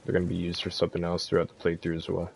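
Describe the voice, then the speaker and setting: Low, gravelly man guiding gameplay. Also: rapid-fire, slight background noise. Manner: relaxed, informal. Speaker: game guide host. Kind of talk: casual gameplay walkthrough commentary.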